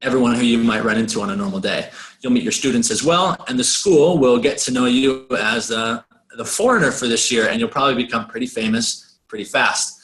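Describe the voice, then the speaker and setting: A man's voice: medium pitch, a little fast, a bit bright. A man talks new volunteers through what to expect in an online briefing. His voice has a roomy echo around it, and he speaks casually with loose, hedging phrases. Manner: loose and conversational, hedging words. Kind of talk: webinar briefing.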